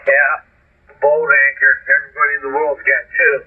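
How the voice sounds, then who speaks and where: A man's voice: mid-range voice, level tone, normal speed, noisy background. A man in casual conversation as an amateur radio operator over a shortwave link. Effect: shortwave radio transmission. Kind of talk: casual ham radio chat. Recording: narrow, lo-fi shortwave radio audio.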